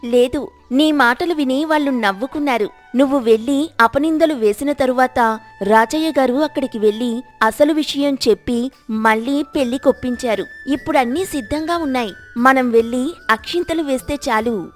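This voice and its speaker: A woman's voice: sits high, moderate pace, faint music behind, lightly acted. A woman playing a firm, reproachful young village wife in an acted moral story, explaining herself plainly.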